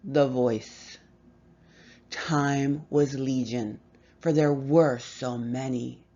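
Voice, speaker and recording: A woman reading a horror story aloud with measured, expressive delivery and dramatic pauses. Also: very deep voice, dull audio. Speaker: story reader.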